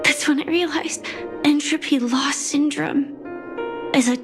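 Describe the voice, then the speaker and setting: A woman playing a gentle young female knight in a video game, grieving yet composed, with a soft, measured, wistful delivery. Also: sits high, steady tone, music in the background. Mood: solemn, composed. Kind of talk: video game story acting.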